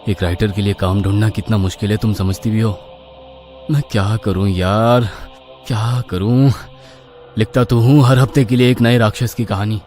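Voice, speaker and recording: A man voicing an anxious, determined horror writer with earnest, dramatic delivery in an animated story. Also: on the low side, moderate pace, soft background music, lightly acted. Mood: weary, desperate.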